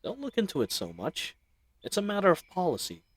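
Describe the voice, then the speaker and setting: Man playing a charming, lively bandit leader. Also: sits high, fairly quick, full character voice. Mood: teasing, easygoing. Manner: casual, chatty, playfully teasing. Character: charismatic, friendly male bandit leader, teasing and roguish. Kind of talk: fantasy audio roleplay acting, speaking intimately to listener.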